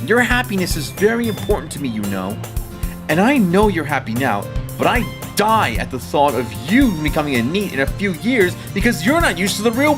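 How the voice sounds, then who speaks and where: A woman voicing a carefree, caring teenage schoolboy in an anime-style audio drama, earnest, teasing and expressive. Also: lowish voice.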